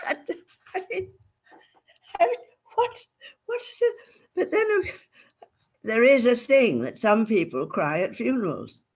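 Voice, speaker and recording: An older woman with a husky, breathy voice that sits a little high and swoops animatedly as she talks. She chats frankly and laughs easily, heard over a video call. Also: wildly swooping pitch, takes it slowly, pauses often. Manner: chatty, frank, laughs often. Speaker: guest. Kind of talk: casual interview chat.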